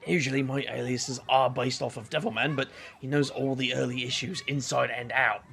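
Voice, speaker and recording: A man acting a wry, awkward detective magician in an audio drama, with a gravelly voice and dry, halting delivery. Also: mid-range voice, fairly even intonation, a bit bright, slight background noise. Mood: wry, rueful.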